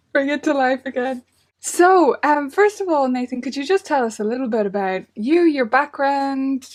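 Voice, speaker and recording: A woman hosting a music teaching show, chatty and enthusiastic as she welcomes and questions her guest. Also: fairly high pitch. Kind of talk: upbeat interview hosting.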